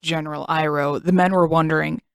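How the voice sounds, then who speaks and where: A woman's voice: low voice, steady tone, brisk, vocal fry, clean tone, dry recording, studio quality. A woman voicing a skeptical military lieutenant in an audiobook, formal and questioning. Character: skeptical male military lieutenant, grudgingly dutiful.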